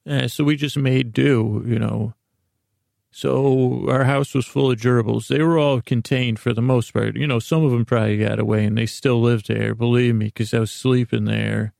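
A middle-aged man hosting a podcast with a silly, halting monologue that meanders and repeats itself as it drifts into tangents. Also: medium pitch, steady tone, vocal fry, darkish tone, no room sound, studio quality. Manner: silly, whimsical. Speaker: podcast host. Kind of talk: rambling comedic monologue.

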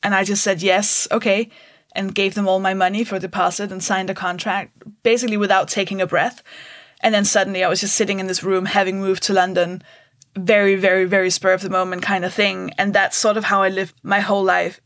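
A woman in her thirties from Denmark, a comedian speaking as a podcast guest. Her delivery is wry and self-deprecating, with quick asides, at a mid-range pitch. The recording is dry and close. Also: level tone, a bit bright, no room sound. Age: 30s. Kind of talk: candid interview conversation.

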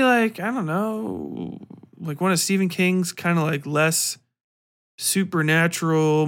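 A man talking casually as a podcast host reviewing books, slangy and full of swearing, animated and given to acting out mock exchanges. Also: fairly high pitch. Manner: profane, slangy, informal. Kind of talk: casual rambling book review talk.

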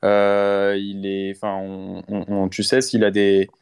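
Low-voiced male podcast co-host. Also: completely flat delivery, slow pace, creaky, darkish tone, clear, resonant tone. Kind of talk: casual chat.

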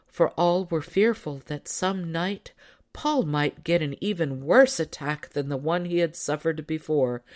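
Low-pitched woman reading a story. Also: darkish tone. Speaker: audiobook narrator. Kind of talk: scripted storybook narration.

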